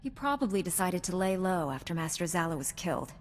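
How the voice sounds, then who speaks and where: A woman's voice: fairly low pitch, big pitch swings, quick pace. An actress playing a loyal, caring young female Jedi knight in a video game, speaking in an earnest, reassuring way. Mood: earnest, inquiring. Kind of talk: scripted video game dialogue. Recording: long reverb, clear background noise.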